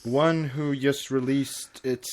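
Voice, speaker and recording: A man's voice: medium pitch, steady tone, slow, a little mellow. Male podcast co-host reading and chatting. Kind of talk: story reading and casual chat.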